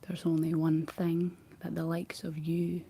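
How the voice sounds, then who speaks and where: A woman's voice: deep voice, monotone, measured pace, creaky, dark tone, breathy edge, heavily acted. A woman whispering the lines of a menacing fairy woman in green, nasty and cold in tone.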